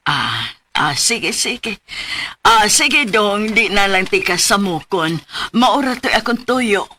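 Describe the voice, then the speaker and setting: A woman's voice: medium pitch, lively intonation, takes it slowly, slight background noise. An actress playing a polite, apologetic middle-aged landlady. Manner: apologetic, gentle. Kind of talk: radio drama acting.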